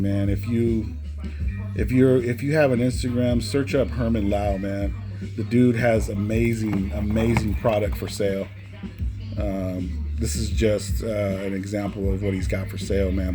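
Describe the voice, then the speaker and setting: Low-voiced man chatting casually over music. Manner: informal, often ends phrases with "man". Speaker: music collector presenting cassettes. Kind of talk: casual collection showcase commentary. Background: music playing behind the voice.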